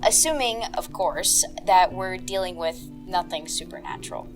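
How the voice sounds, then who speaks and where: A woman's voice: fairly low pitch, expressive, moderate pace, faint music behind, close to an everyday voice. An actress playing a methodical, open-minded private investigator in an audio drama, casual and confident as she reasons things out. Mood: matter-of-fact.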